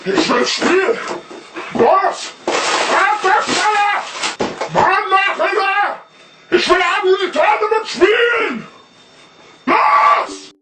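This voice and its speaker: Frantic high-pitched screaming in a noisy echo. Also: lively intonation, drawn-out words. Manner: frantic, yelling. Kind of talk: angry shouted outburst. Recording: noisy, echoey, distant shouting clip.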